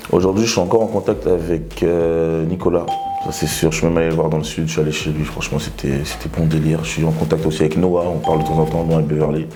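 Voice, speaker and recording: A young man in his twenties with a very low, deep voice answers interview questions at a brisk clip. He rambles casually, leaning on fillers and restarts as he tells his side of things. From Togo. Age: 20s. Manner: relaxed, rambling, heavy fillers. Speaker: reality TV contestant.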